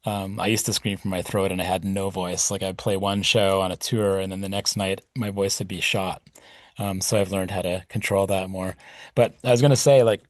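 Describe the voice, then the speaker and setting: A man's voice: low voice, steady tone, normal speed, no echo. A middle-aged man speaking as a podcast guest, reflective and rambling with plenty of fillers. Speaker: podcast guest, punk musician. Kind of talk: casual interview talk.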